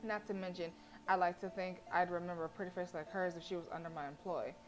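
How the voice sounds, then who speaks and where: A woman game streamer reading visual novel narration aloud, expressive, with casual blunt reactions. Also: lowish voice, fast talker, slight background noise.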